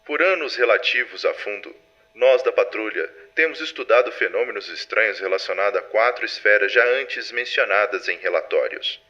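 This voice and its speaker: A man's voice: lowish voice, moderate pace, pushed and bright, lo-fi sound. A Brazilian man playing a composed, authoritative superintendent of a secret organization in an audio drama. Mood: formal, measured. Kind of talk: formal scripted archive recording, audio drama. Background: music.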